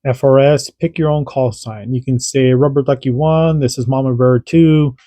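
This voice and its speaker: Man, radio hobby host, mellow voice, dry room, chatty, repeats himself. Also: mid-range voice, fairly even intonation, normal speed, no echo. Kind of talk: casual demonstration tutorial.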